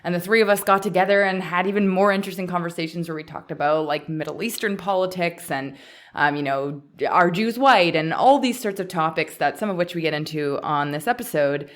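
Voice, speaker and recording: A woman's voice: fairly low pitch, a little fast. A woman hosting a podcast in a conversational interview style. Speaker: podcast host.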